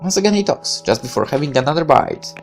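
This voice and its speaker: A woman's voice: low-pitched, expressive, fast, vocal fry, soft background music. A woman playing a visual novel, reading the text aloud and dropping irreverent, sarcastic asides. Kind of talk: game playthrough, reading text aloud with offhand commentary.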